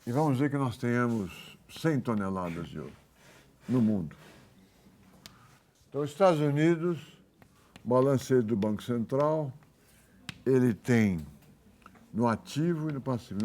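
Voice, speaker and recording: Brazilian professor lecturing in a halting, informal way. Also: male voice, medium pitch, lively intonation, warm tone. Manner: informal, halting, thinks aloud and corrects himself. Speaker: economics professor. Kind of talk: university lecture, explaining with worked examples.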